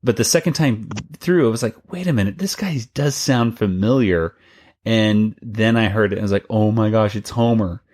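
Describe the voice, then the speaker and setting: Low-voiced man chatting on a podcast. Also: normal speed, a little mellow. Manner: chatty, rambling with restarts and laughs. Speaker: podcast co-host. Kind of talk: casual chat.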